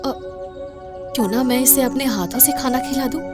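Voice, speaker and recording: A woman voicing a kind, brave young village wife in an earnest, expressive storybook way. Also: sits high, normal speed, lightly acted. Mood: concerned, pitying. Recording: clear background music under voice.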